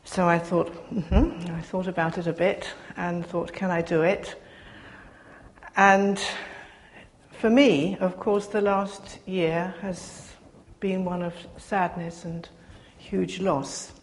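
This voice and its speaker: An older woman giving a soft-spoken, reflective personal sermon to her congregation, pausing often as she speaks. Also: lowish voice, fairly even intonation, a little hiss or hum. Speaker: preacher.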